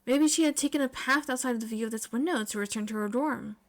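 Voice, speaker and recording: High-voiced woman narrating a story. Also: fast. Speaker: podfic narrator. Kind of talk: expressive scripted story narration.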